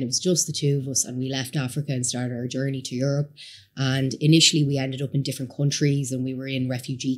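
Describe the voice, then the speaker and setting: A woman's voice: rumbling low, darkish tone. A woman in her thirties from Somalia, with a Dublin accent, telling her life story as a guest in a casual interview. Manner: chatty, candid storytelling. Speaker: guest entrepreneur.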